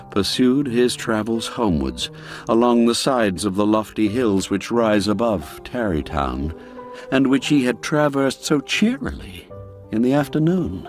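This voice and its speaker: A man narrating a literary tale in a theatrical, expressive and unhurried storytelling manner. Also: on the low side.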